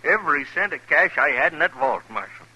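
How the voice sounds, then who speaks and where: An actor playing an agitated, indignant frontier bank owner in a western radio drama, with an American accent and a fretful, scolding manner. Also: male voice, a little high, lively intonation, fairly quick, sharp tone, breathy edge, exaggerated voice, rough recording.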